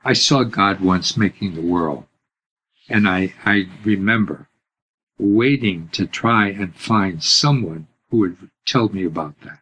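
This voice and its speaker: A man in his eighties, a priest being interviewed, telling stories of his childhood slowly, with many pauses, his voice swelling and then dropping almost to a whisper. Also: low-pitched, a bit bright, highs cut off, slight background noise. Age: 80s. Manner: unhurried, pausing often, swells and drops to near whisper. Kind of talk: slow reflective storytelling.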